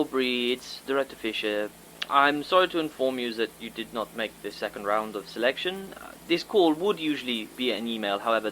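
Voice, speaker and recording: An actor playing a formal, apologetic director, speaking in a measured, slightly hesitant way as if leaving a voicemail. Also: male voice, mid-range voice, fairly bright tone, slight background noise, natural voice, rough recording. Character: formal, apologetic middle-aged male selection director. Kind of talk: scripted audio drama voicemail.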